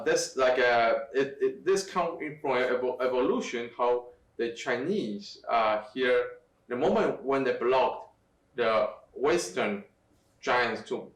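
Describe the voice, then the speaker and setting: A middle-aged man from Taiwan speaks in a halting, restarting way as an interview guest. His voice sits back from the microphone in a roomy, echoing space. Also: medium pitch. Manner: halting, many restarts and fillers. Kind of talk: conversational interview. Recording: echoey room, distant from mic.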